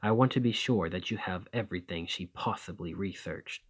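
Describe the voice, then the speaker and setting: A man playing a courteous, protective vampire of the 1820s in an ASMR roleplay, with gentle, old-fashioned formal phrasing. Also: low voice, moderate pace, very noisy. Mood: soft, reassuring.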